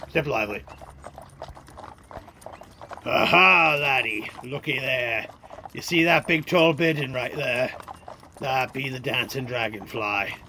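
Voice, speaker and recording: A man's voice: medium pitch, sharp tone, a little hiss or hum. Man acting a folksy fantasy cart driver. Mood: urging. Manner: folksy, old-time phrasing, instructing. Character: gruff experienced male cart driver guiding a younger companion. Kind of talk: fantasy radio drama acting.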